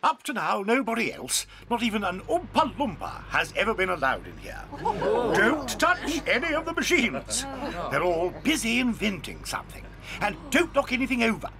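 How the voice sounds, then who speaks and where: An actor playing an eccentric, excitable male chocolate factory owner, playful and theatrical, in a studio audio drama. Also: high voice, normal speed, gravelly, a crowd in the background, exaggerated voice. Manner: playful, theatrical, bursts into rhyming chants.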